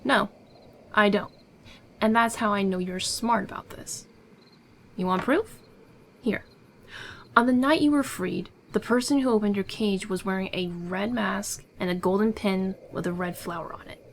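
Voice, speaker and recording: A woman's voice: medium pitch, moderate pace, vocal fry, a little hiss or hum, natural voice. A woman playing a confident, secretive smuggler in a fantasy ASMR roleplay, with an assured, persuasive, theatrical delivery. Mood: sly, assured. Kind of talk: ASMR audio roleplay acting.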